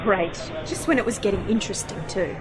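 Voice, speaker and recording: A woman's voice: medium pitch, brisk, a crowd in the background, close to an everyday voice, poor audio. A woman playing a spirited, inquisitive and headstrong young woman in an amateur science-fiction radio play. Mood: curious, casual.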